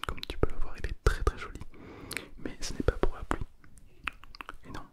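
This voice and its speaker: A man whispering a playful, self-mocking ASMR roleplay as a pretend Mandarin teacher, close to the mic. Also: normal speed.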